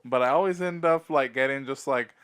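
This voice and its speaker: Teasing young man, mid-pitched. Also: brisk, fairly bright tone, clean tone. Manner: teasing, laughing, swearing casually. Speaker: podcast co-host. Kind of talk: casual chat.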